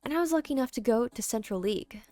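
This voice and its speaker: A woman's voice: a little high, lively intonation, racing, warm tone, faint music behind, lightly acted. A woman voicing a big, proud, friendly male baseball player in a video game, with folksy, hearty talk.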